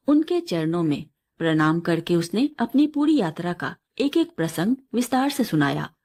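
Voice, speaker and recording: A woman reading a scripted moral folk tale as a storyteller, in a measured, expressive storybook delivery. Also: on the low side.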